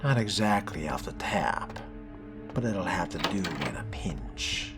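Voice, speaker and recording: A man's voice: low voice, expressive, unhurried. A man role-playing a video game character, giving dry, sardonic commentary in formal wording. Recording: loud music behind the voice.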